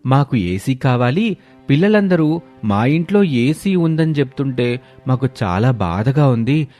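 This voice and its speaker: A man's voice: medium pitch, lively intonation, measured pace, a little mellow, natural voice. Guy doing whiny demanding kid in a story, pleading, stretched words, light music. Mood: whining, upset. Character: whining, tearful, demanding young children. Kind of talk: dramatised storybook quote.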